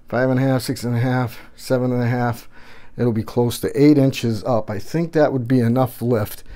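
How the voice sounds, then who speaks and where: Casual mellow-voiced man filming his build. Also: mid-range voice. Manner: casual, rambling, slangy. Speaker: tech and gaming channel host. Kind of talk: casual build walkthrough vlog. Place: home gaming room, indoors. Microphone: handheld phone camera.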